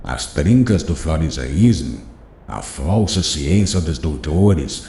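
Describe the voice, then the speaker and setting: A venerable old teacher in a spoken audiobook, deep-voiced and warm-toned. His counsel rises and falls with lively pitch, slow and solemn. The room around him rings with echo. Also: a little hiss or hum, exaggerated voice. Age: older. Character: wise, gentle elderly male master. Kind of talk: audiobook dialogue reading.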